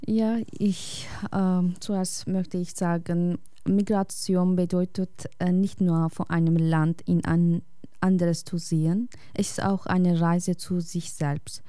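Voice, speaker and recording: A woman reads a prepared personal essay on air, calm and reflective, taking her time over every phrase. Her voice is warm and smooth. The sound is close and dry. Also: on the low side, slow pace, dry recording. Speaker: radio guest.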